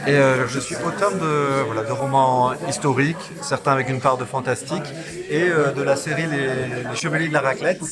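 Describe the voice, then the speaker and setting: A man's voice: medium pitch, steady tone, brisk. A man, an author, describing his book series in a good-humoured, joking way. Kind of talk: casual interview. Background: loud crowd of book fair visitors.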